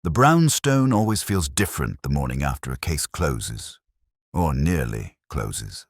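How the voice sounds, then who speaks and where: A man's voice: extremely low pitch, very animated, unhurried, breathy edge, no room sound, studio quality. A man reading a scripted first-person detective story as its narrator.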